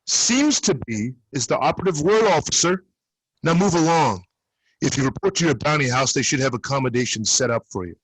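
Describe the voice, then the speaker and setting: A man playing a gruff, middle-aged government soldier in a screenplay table read, curt and blunt with a husky voice. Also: on the high side, animated, brisk, dry recording, poor audio. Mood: dismissive, impatient.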